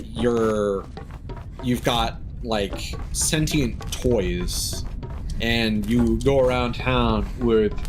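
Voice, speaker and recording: A man giving casual live commentary as he plays a video game, talking haltingly as he thinks aloud. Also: on the low side, poor audio.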